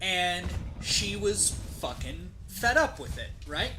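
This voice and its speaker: A streamer telling a story casually and comedically while gaming, with profanity, dramatic pauses and rhetorical tags. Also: medium pitch, very animated, background noise. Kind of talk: casual comedic storytelling during game play.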